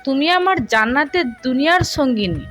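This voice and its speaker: A woman's voice: high voice, expressive, moderate pace, a bit bright, soft background music. A woman storyteller voicing a devout, respectful, devoted young husband with an earnest manner in a romantic story. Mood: tender.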